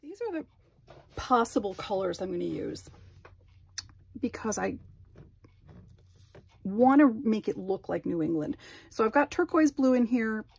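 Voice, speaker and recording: A woman's voice: mid-range voice, animated, measured pace, vocal fry, pauses often, darkish tone, breathy edge. A woman narrating her glass craft work at a home workbench, thinking aloud and unsure of each step. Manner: thinking aloud, self-doubting. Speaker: glass artist. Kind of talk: casual craft vlog narration.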